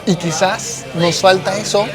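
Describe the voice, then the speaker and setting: A man with a Mexican accent hosting a casual conversation. He talks in an animated, slangy way and searches for words with many fillers. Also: high voice, a little slow, over music.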